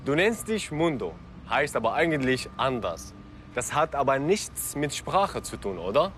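A man's voice: mid-range voice, sing-song, measured pace, fairly bright tone, a little hiss or hum. Guy hosting a report, kurdish his first language, voice moves up and down a lot. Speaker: presenter. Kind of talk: presenting a feature report.

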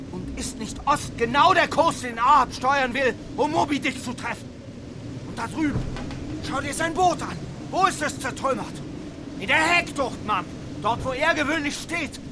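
An actor playing an alarmed ship's mate in a German radio drama, urgently exhorting and pleading for reason. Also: male voice, very high-pitched. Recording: noisy storm and sea effects behind.

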